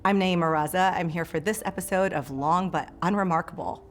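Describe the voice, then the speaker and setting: A woman's voice: lowish voice, a little fast, slight background noise. A woman in her thirties, a journalist and podcast host, talking candidly to camera about her life with chatty filler words. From United States. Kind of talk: personal essay talk to camera.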